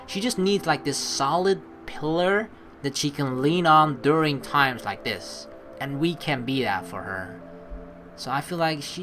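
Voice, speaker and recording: A man hosting a let's play, informal and rambling, moving between casual commentary and reading story text aloud. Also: on the high side. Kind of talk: casual game commentary mixed with dramatic read-aloud narration. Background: game music.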